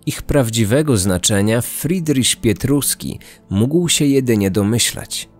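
A man narrating a scripted true-crime podcast in a measured, articulate manner. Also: mid-range voice, lively intonation, soft background music.

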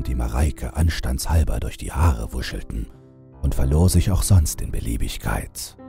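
A man narrating a horror audiobook in a slow, measured, ornate storytelling manner. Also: very deep voice, creaky, dark tone.

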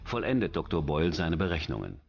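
A man's voice: rumbling low, sing-song, fairly quick, vocal fry, darkish tone, muffled recording, slight background noise. A man reading scripted documentary narration in as the narrator. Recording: music under the voice. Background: background music.

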